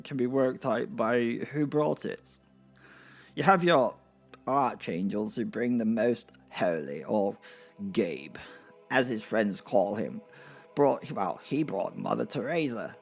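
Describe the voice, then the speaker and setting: A man's voice: medium pitch, gravelly. A man playing a nervous, self-important gate attendant of heaven in a comic fantasy audio drama, with a hesitant, stammering delivery. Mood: confiding, prim. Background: music.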